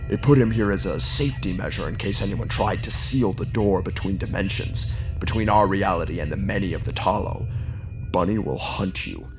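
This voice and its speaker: An actor playing a weary old man who gives slow, ominous warnings in a horror audio drama. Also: low voice. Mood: grave warning. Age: older. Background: background music.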